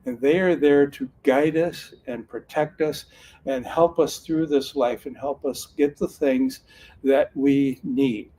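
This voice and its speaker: A man's voice: mid-range voice, fairly even intonation, slow pace, warm tone. Earnest older man, folksy storytelling. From Northern California. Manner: earnest, folksy, with frequent restarts. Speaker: retired sheriff's officer turned card reader. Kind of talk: casual storytelling talk.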